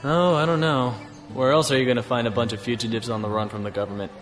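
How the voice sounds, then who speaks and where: An easygoing male starship captain played by a man in a science fiction audio drama. He talks in a casual, understated way at a middling pitch, and music plays clearly behind him. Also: fairly even intonation, fast talker, natural voice. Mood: wry, gentle.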